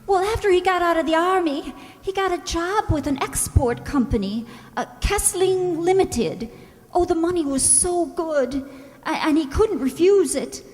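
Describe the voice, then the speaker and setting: A woman playing a distraught young wife in a radio drama, anxious and halting, pleading for her sick husband. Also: extremely high pitch, a little mellow. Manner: halting, anxious, breaking off mid-sentence. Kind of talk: old-time radio drama acting. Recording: roomy hall with long reverb.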